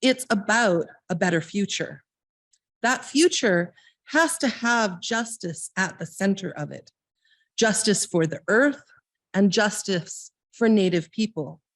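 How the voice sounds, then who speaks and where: A woman leading a church service, reading aloud and introducing speakers in a measured, deliberate way. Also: mid-range voice, animated, dry recording. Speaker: service leader.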